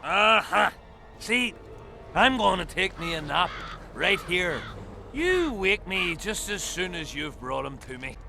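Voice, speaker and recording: An actor playing a drunken, foul-mouthed soldier in a video game, slurring and rambling crudely. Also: male voice, high voice, big pitch swings, slow, creaky, bright, forceful tone, faint music behind, exaggerated voice. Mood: drunken, muddled.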